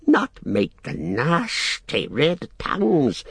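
A man voicing a wretched, wheedling creature with a thin, hissing, raspy voice, whining and repeating words in an audiobook. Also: a little high, wildly swooping pitch, takes it slowly, no echo, clean studio sound, voice pushed up, exaggerated voice. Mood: frightened, angry. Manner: repeats words, whining, speaks of self in third person.

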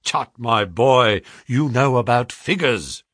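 A man voicing a brisk, sharp-talking middle-aged male financier in a read-aloud story, speaking eagerly and quickly. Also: medium pitch, expressive, dry recording, clean studio sound.

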